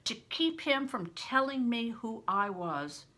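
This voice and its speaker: A woman's voice: on the high side, sing-song, takes it slowly, pushed and bright, pitched above the performer's own voice, full character voice. An older woman reading aloud as a defiant young peasant boy, speaking earnestly and firmly.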